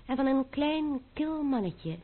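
An actress playing a young aristocratic French mademoiselle in a Dutch radio play, formal, deliberate and pointed, foreboding and ironic. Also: female voice, high-pitched, expressive. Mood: grave, ironic. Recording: old muffled narrow-band radio recording.

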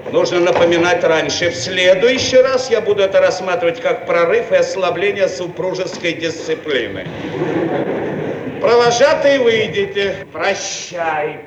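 An actor playing a pompous, self-important Soviet bureaucrat in a theatrical satirical radio comedy, officious and oratorical. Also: male voice, fairly high pitch, lo-fi sound. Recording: old radio archive, echoey, distant, noisy.

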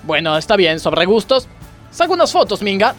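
High-voiced pompous coach played by a man. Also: very animated, moderate pace, fairly bright tone, soft background music. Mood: preachy. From Argentina. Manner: grandiose speechifying, melodramatic. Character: pompous, moralizing male gymnastics coach, scolding yet easily distracted. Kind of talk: parody radio play acting.

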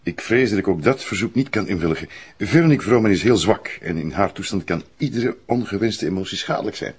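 Deep, formal psychiatrist. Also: male voice, expressive, husky. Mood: formal, guarded. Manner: measured, formal, polite. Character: formal, reserved male chief psychiatrist guarding his patient. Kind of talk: radio drama acting. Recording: noisy radio drama recording.

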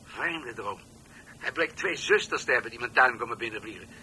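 An eccentric old police chief in a radio drama. His voice is very bright and sharp at a middling pitch, musing dreamily over faint background noise. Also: animated, a little fast, low-quality recording. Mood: musing, wondering. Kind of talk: Dutch radio play acting.

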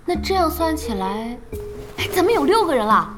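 An actress playing a spirited, outspoken young female boss in a radio drama, with a brisk and expressive manner. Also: high voice, music in the background. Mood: curious. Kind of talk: Chinese radio drama acting.

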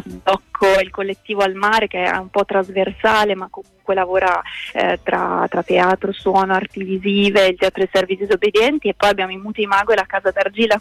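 A woman speaks as a guest in a radio interview, with a mid-range voice and a very dry, close sound. She is unscripted and hesitant, often pausing to find the word and repeating small words as she builds long explanations. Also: medium pitch, creaky, dry recording, low-quality recording. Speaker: interview guest, project curator.

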